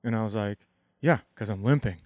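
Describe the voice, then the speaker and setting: A man co-hosting a comedy podcast, telling casual stories and acting out the dialogue in an animated way. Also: on the low side, fairly quick, dry recording, clean studio sound. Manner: animated, acts out quoted dialogue.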